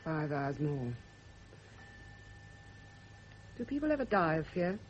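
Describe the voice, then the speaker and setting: A woman's voice: sits low, sing-song, brisk. An actress playing a bitter, defiant woman on edge in a British radio drama, clipped and cutting in manner. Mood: bitter, brooding. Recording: dull, noisy old radio drama recording.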